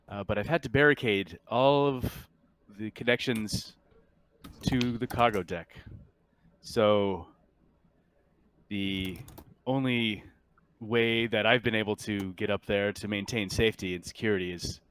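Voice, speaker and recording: A man voicing a polite, guarded ship's android in a tabletop game, apologetic and measured in manner. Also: fairly low pitch, a bit bright, clean tone. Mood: matter-of-fact, courteous. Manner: measured, conciliatory, formally courteous. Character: polite, guarded, apologetic android named James minding a ship. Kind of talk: improvised tabletop roleplay acting.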